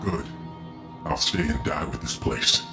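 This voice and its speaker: Tormented cyborg man in a video game, really low voice, strained and fatalistic, loud music behind. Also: measured pace, vocal fry, full character voice. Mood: grim resolve. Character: tormented cyborg agent turned against his will, grim, fatalistic.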